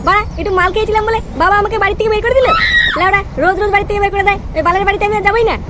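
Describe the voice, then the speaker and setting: A man voicing a brash, foul-mouthed young drinker in a comedy cartoon, with a pitched-up voice. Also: fairly even intonation, rapid-fire, shouting, over a noisy crowd. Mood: irritable, aggrieved. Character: hot-headed, hard-drinking young layabout son. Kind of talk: exaggerated comedy cartoon acting. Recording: noisy track with street and vehicle ambience.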